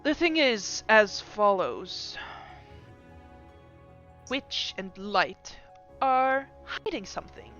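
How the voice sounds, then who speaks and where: A woman voicing an evasive, wry fey-pact trickster in kobold form, speaking in a smooth, elegant manner. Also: medium pitch, very animated, very slow, long pauses, fairly bright tone, muffled recording, soft background music, exaggerated voice. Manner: elegant, smooth, mock-wounded.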